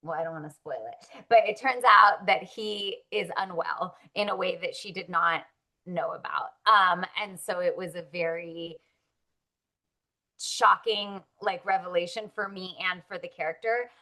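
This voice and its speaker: A woman's voice: fairly low pitch, unhurried, sharp tone. A woman born in Russia, an actress chatting casually in an interview, upbeat and full of likes and ums.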